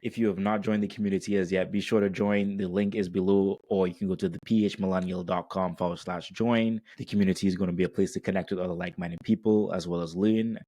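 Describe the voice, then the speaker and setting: Male podcast host and interviewer, fairly low register, husky texture. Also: steady tone, fast, few pauses, warm tone. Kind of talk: podcast intro and interview hosting.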